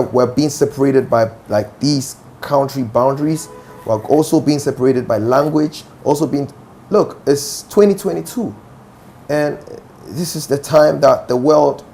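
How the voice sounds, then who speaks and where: Ghanaian male musician, young, gravelly, insistent interview talk. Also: medium pitch, unhurried, mellow, slight background noise. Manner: assertive, with frequent "do you understand?" asides. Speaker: musician guest. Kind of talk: interview answers.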